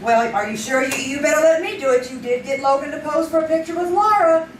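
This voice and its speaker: An actress playing a catty, teasing police-desk reporter with a knowing manner in a live old-time radio drama. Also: female voice, high voice, fast, lightly acted. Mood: concerned, brisk. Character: wry, catty, sharp-tongued female police-desk reporter. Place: theater stage, performed live. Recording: distant, echoey live stage, faint noise.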